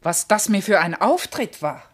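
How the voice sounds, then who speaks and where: Eine Schauspielerin spielt in einem klassischen Vershörspiel eine empörte, scharfzüngige Dienersfrau, die theatralisch und mit Nachdruck schimpft. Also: female voice, mid-range voice, sing-song, normal speed, breathy edge. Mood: irritated. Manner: scolding, theatrical, emphatic. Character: scolding, indignant servant's wife, fiery and sharp-tongued. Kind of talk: classical German verse comedy radio acting.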